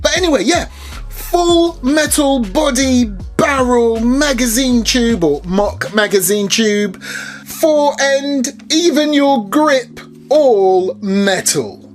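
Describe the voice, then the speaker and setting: British male airsoft reviewer, jokey and unhurried, faint background music. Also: extremely high pitch, animated. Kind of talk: unboxing review.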